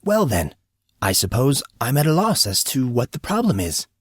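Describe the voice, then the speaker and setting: A man voicing a courteous, calm, knowing gentleman with formal, polite phrasing in an audiobook story. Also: mid-range voice, normal speed, slightly rough, no echo, clean studio sound. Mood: courteous, amused.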